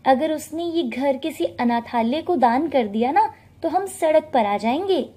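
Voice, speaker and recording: Casting: greedy, sharp-tongued young wife for a cartoon moral tale, high-pitched and nagging woman performer. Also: animated, a little slow. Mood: scheming. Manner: nagging, taunting, bossy. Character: shrill, scornful, greedy young pregnant daughter-in-law.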